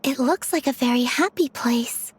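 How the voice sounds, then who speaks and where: A woman playing a gentle, naive, wistful young lady in a video game, innocent and reflective. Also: high-pitched, moderate pace, a little hiss or hum, close to an everyday voice. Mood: gently curious.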